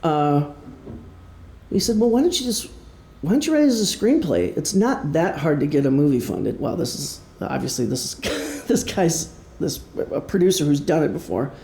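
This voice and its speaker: A man's voice: fairly high pitch, animated, normal speed, gravelly, dark tone. A middle-aged man giving an informal talk as an author, telling stories in a wry, self-deprecating way. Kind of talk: informal prepared talk to an audience. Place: indoor room, live presentation. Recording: echoey room.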